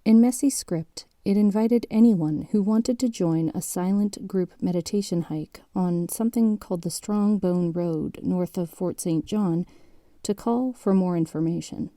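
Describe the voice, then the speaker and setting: A woman playing the composed narrator of a grim documentary-style mystery, reading in a measured, matter-of-fact way. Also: lowish voice, mellow, no echo, clean studio sound, natural voice. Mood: measured, grave.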